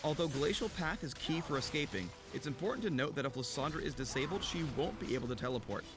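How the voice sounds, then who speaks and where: Fast-talking male game guide narrator. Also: a little high, animated, music in the background. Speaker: game champion spotlight narrator.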